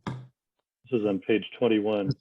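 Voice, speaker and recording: A man's voice: fairly low pitch, fairly even intonation, slow, husky, dry recording. A man giving a casual, thoughtful comment as an audience member at a book discussion. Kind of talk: casual, thoughtful comment with a read-aloud passage. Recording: thin phone-like call audio.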